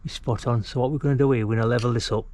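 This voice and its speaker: A man's voice: mid-range voice, fairly even intonation, racing, background noise. A middle-aged British man giving a casual hands-on DIY tutorial, rambling and muttering asides as he works. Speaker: DIY vlogger demonstrating antenna mast installation. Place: outdoors by a brick house wall.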